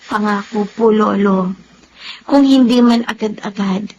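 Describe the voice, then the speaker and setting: A woman's voice: mid-range voice, measured pace, a little mellow, low-quality recording. An actress playing a humble, grateful young Filipino mother in a radio drama, shy and respectful. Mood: grateful, earnest. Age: young adult.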